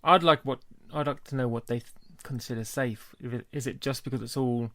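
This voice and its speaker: A man co-hosting a podcast talks in a relaxed, chatty way and laughs easily between thoughts. His voice has a gravelly, creaky edge at an ordinary pitch. It is recorded dry, with no room sound. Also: a little fast, dry recording. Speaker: podcast co-host. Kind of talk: casual chat.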